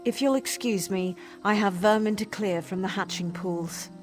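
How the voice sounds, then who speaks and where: A woman's voice: mid-range voice, moderate pace, a little mellow, soft background music, natural voice. An actress playing a stern female military officer in a fantasy video game, formal and authoritative. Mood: stern, businesslike.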